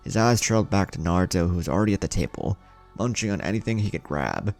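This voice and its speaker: A man's voice: low voice, expressive, fast, creaky, a little mellow, faint music behind. A man narrating fan fiction in audiobook style as a channel host.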